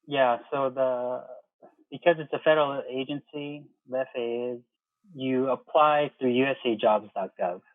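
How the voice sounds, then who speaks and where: An American man in his thirties talking casually as an interview guest, explaining things in a halting way and often rephrasing. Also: mid-range voice, no echo, phone-quality sound. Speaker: interview guest, air traffic controller.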